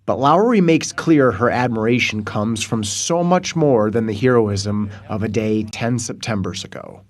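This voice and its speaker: A man reading a scripted news report voice-over in a measured, even way. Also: fairly low pitch.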